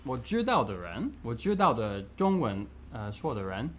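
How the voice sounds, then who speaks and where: Slow, animated man on a video call. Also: mid-range voice, a little hiss or hum. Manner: slow, halting, many fillers. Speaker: guest. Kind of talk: casual multilingual chat.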